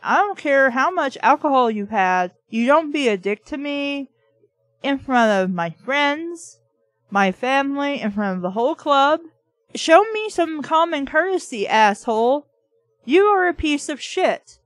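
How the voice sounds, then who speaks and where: A woman's voice: high voice, expressive, measured pace, dry recording. A woman reading a book aloud and breaking in with blunt, sarcastic, profane commentary, arguing back at the text. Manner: blunt, sweary, mocking; opinionated, argues back at the text.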